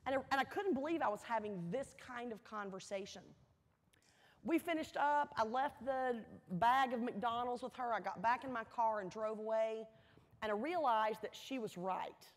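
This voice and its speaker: A woman with a fairly high voice delivers a motivational speech in a large, reverberant hall. She tells her story earnestly, leaning on key words and pausing for effect. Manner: earnest, emphatic, dramatic pauses and repetition. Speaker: motivational speaker at a university welcome event. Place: large indoor hall, addressing an audience.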